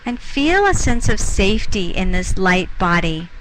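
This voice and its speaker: A woman leading a guided meditation as a teacher, speaking in soothing, unhurried short phrases with pauses. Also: medium pitch, very animated, a bit bright, soft background music, low-quality recording. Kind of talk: guided meditation, softly instructing.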